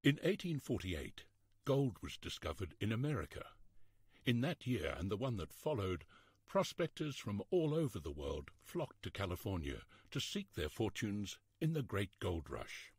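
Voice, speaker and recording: Expressive male storybook narrator, slightly husky. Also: lowish voice, normal speed, no echo, clean studio sound. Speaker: narrator reading a children's history book.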